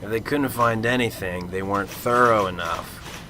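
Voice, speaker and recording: A cynical middle-aged male detective played by an actor in a radio drama. He is curt and sardonic, with a low, forceful voice. There is faint noise behind him. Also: normal speed, poor audio. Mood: blunt, businesslike.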